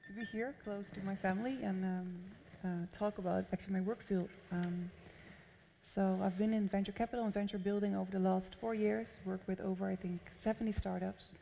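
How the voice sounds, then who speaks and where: A woman's voice: mid-range voice, fairly even intonation, brisk, creaky, warm tone. A young woman from the Netherlands speaking as a panelist at a conference, on stage in a large hall. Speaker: panelist, venture consultant. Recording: long reverb of a large hall.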